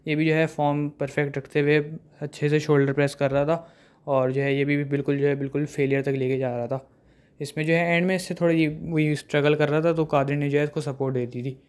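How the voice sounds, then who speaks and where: A young man calmly narrating his gym workout as a voice-over, with many fillers. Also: on the high side, a little fast. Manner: steady recap, heavy fillers.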